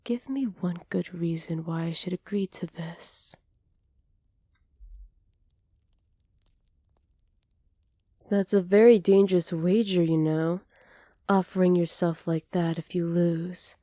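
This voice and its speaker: A woman playing a flirty, cruel evil witch in a soft ASMR roleplay, teasing and smug, close to the mic. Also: fairly low pitch, steady tone, no echo. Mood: intrigued, guarded. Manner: teasing, smug, unhurried, often dropping to near-whispers. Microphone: close microphone, dry.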